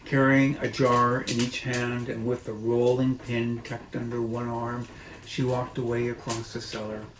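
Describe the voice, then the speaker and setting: A man reading a children's book aloud expressively and haltingly, with dramatic pauses and informal asides. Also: mid-range voice, little pitch movement. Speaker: storybook reader. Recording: echoey room, noisy.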